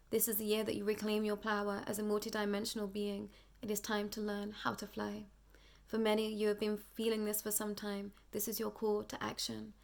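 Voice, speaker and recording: A hoarse young woman speaks in a strikingly flat, unvarying tone. Her voice is gravelly and worn from nearly losing it. She reads a channeled spiritual message slowly and solemnly. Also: mid-range voice. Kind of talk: reading a channeled message aloud.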